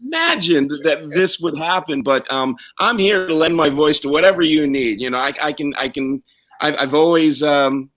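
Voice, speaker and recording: A man's voice: on the high side, lively intonation, moderate pace, a bit bright. A man chatting casually as he introduces himself for an audition, with an eager manner. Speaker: auditioning singer-songwriter. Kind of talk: casual chat, self-introduction.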